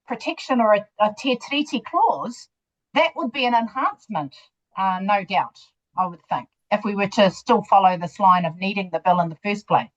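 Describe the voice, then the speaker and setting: A woman on a parliamentary committee, asking a submitter a question in a halting way with many ums. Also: mid-range voice. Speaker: committee member. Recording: dull lo-fi sound.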